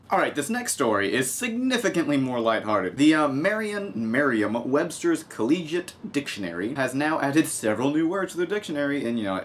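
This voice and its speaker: Young adult man, from the US, casual vlog host, humorous news commentary, sarcastic asides, medium-pitched voice, home desk recording. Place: small home room with desk, indoors.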